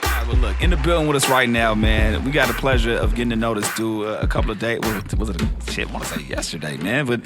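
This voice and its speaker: Fast-talking male radio host. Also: lowish voice, loud music behind the voice. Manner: loose and slangy, quick to jump in. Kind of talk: casual interview hosting.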